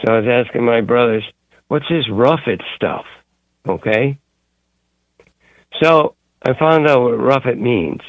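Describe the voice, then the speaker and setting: A man calling in to a talk radio show, telling a long rambling story in a gruff way. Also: mid-range voice, a little slow, long pauses, no room sound, dull audio. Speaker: caller.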